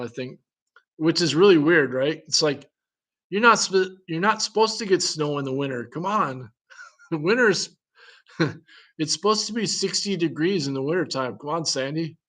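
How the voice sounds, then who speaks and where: A man talking in a loose, rambling, casual way, his voice sitting a little higher than most men's. He thinks aloud, reacts with curious little questions and often breaks into a chuckle between remarks. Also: normal speed, a bit bright. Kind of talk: casual chat.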